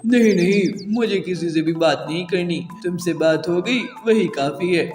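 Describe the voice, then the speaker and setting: A man voicing an exasperated young husband in a cartoon, in a loud, scolding manner. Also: sits high, normal speed, natural voice. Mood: gentle. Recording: echoey room with long reverb and music.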